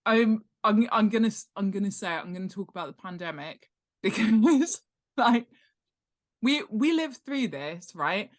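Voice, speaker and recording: A middle-aged woman speaks as an expert guest in an informal interview. Her voice sits at a medium pitch. She explains emphatically and keeps checking that the listener follows. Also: normal speed. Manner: animated, emphatic, checks in with "right?" and "okay?". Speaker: guest author and world-building expert.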